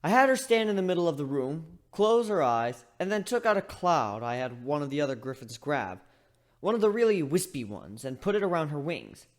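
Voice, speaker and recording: A chatty male griffin voiced by a man tells his own fantasy story. His delivery is wry and conversational, wandering off into asides, and his pitch moves around in an animated way. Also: a little fast. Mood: musing, rambling. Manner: conversational, rambling, self-interrupting. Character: chatty male griffin, wry, rambling first-person narrator. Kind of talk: dramatic reading of fan fiction, storytelling.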